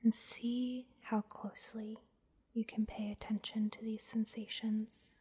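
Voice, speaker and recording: A woman leads an ASMR guided meditation in a very breathy whisper. Her delivery is slow and even, with little movement in pitch. She gives soft, soothing instructions and leaves space between them. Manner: gentle, soothing, instructing softly with pauses. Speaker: massage therapist and meditation guide, host.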